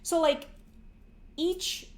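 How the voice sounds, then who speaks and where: A woman in her forties hosting a podcast, talking casually and philosophically, often rephrasing mid-thought in a rambling way. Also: drawn-out words. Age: 40s. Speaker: podcast host. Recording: roomy, echoey sound.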